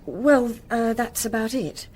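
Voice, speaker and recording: A woman's voice: a little high, takes it slowly, a little mellow, slight background noise. An actress playing a helpful young female librarian in a radio drama, with a polite, hesitant manner. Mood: friendly, bashful.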